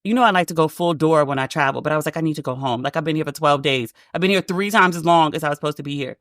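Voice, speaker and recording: A woman with a deep voice hosting a casual solo podcast, telling a story and acting out the dialogue, with "like" all through her speech. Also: racing, no room sound, clean studio sound. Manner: chatty, heavy use of "like", acts out quoted dialogue. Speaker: podcast host.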